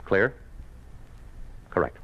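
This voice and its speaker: An American actor playing a cold, domineering Communist official in a 1950s radio drama, curt and commanding. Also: male voice, drawn-out words, a little hiss or hum. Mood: brisk, commanding. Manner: curt, commanding, clipped orders. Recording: dull, lo-fi 1950s radio recording.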